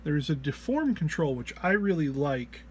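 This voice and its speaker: A man who sounds under the weather gives an informal tutorial walk-through in a low-energy, hesitant, halting way. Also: sing-song, a little mellow, slight background noise. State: under the weather, often clearing throat. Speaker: presenter of a music effects patch walk-through.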